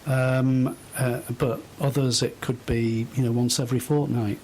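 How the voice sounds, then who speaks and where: Man, middle-aged, slow pace, thoughtful and hesitant, conversational radio answers, slight background noise. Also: mid-range voice, little pitch movement, a little mellow, poor audio. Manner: hesitant, thoughtful, halting phrases. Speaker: interview guest, volunteer host. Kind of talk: unscripted radio interview conversation.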